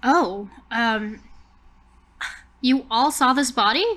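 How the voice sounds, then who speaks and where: A woman's voice: a little high, slow pace, fairly bright tone. A woman voicing a quirky, boisterous girl camp counselor in a tabletop game, blunt and dramatic. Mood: taken aback.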